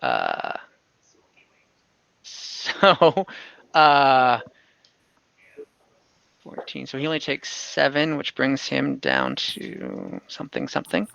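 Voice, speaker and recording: A man's voice: mid-range voice, wildly swooping pitch, takes it slowly, vocal fry, long pauses, fairly bright tone, no room sound, highs cut off. A man working as game master, narrating an online tabletop game casually and thinking aloud in a hesitant, improvised way. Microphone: online call microphone.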